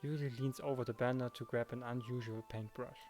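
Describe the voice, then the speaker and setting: A man's voice: medium pitch, steady tone, a little fast, a little mellow, faint music behind. A man hosting a let's play, reading a visual novel and chatting casually in a conversational way. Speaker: let's play host, narrator and reader.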